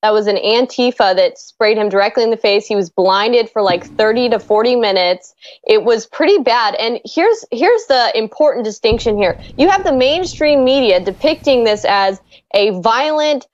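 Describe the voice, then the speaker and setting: A woman's voice: fairly high pitch, moderate pace, dry recording. A woman recounting events in an interview as an activist reporter. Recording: dull lo-fi interview clip.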